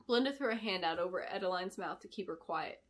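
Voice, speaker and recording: A woman narrating a fantasy novel in an expressive, deliberate storytelling style, as an author reading aloud. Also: fairly low pitch. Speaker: author reading her book. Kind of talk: dramatic scripted fantasy narration.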